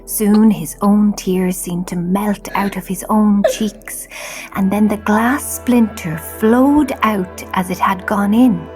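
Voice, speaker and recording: An Irish woman narrates a children's tale. Her mid-pitched voice is slow and measured, with hushed phrase endings, over clear music. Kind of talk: scripted storytelling narration for children.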